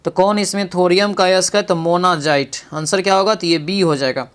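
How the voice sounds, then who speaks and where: Clear, higher-voiced male exam teacher. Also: fairly even intonation, fairly quick, fairly bright tone. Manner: instructional, with frequent check-in tags and rhetorical questions. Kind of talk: practice quiz lesson, reading questions and explaining answers.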